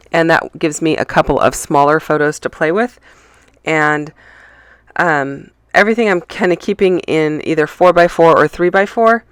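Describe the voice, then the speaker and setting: A woman's voice: deep voice, clear, resonant tone, no room sound, clean studio sound. A woman talks over her own craft footage in a loose, rambling voice-over, full of ums and restarts. She is still getting over a cold and sounds a little sniffly and stuffy. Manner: rambling, chatty, many ums and self-corrections. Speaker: scrapbooking channel host. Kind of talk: casual process-video voice-over.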